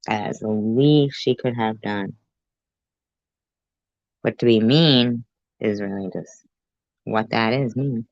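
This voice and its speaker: A woman's voice: extremely low pitch, takes it slowly, long pauses, a little mellow, no echo, dull audio. A woman reacting to a clip with dry, sarcastic asides. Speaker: commentary YouTuber.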